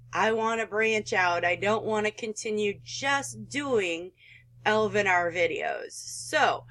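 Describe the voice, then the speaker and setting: A woman's voice: mid-range voice, a bit bright. A middle-aged woman hosting a gaming video, chatting casually and reading game text aloud with emotional asides.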